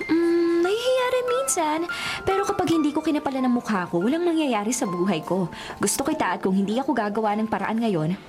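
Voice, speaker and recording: High-voiced, lovestruck college girl. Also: lively intonation, fairly quick, over music. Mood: cheeky, determined.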